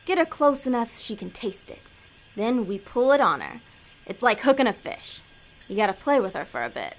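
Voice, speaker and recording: A woman plays a sharp-tongued lady demon in an audio drama. Her voice sits fairly high, and she scolds and schemes in blunt street slang. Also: big pitch swings, normal speed, poor audio. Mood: scheming.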